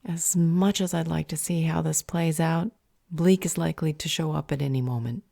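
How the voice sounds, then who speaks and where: A woman's voice: deep voice, fairly even intonation, normal speed, creaky, mellow, dry recording. A woman playing a level-headed, wry ally in a fantasy roleplay audio drama, dry and composed.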